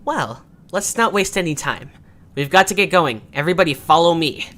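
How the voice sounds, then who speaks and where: A man's voice: fairly high pitch, animated, normal speed, a bit bright. A man playing a bossy, scornful young creature who wants to lead, haughty and impatient, in an amateur audio drama. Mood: commanding.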